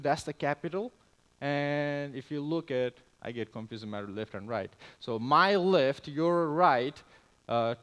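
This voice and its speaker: Higher-pitched young man presenting to church. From Nepal. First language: Nepali. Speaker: missionary and church planter. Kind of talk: church missions presentation with slides. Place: speaking live to a church congregation.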